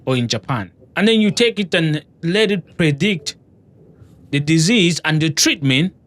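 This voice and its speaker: A man's voice: fairly high pitch, lively intonation, unhurried, a bit bright. A man in a casual discussion, talking with hesitation and lots of restarts. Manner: hesitant, many fillers and restarts.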